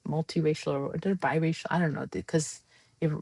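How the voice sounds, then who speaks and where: Woman, podcast guest, impassioned, lots of ums, lowish voice, dry. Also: steady tone, brisk, creaky, a little mellow, breathy edge, no echo. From United States. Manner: earnest and impassioned, frequent ums and restarts. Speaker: guest, democracy advocate. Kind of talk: conversational podcast interview.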